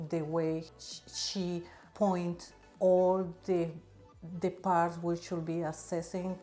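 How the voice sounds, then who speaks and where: A woman's voice: lowish voice, darkish tone. A woman thread practitioner giving an earnest testimonial with non-native grammar. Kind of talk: testimonial interview answers.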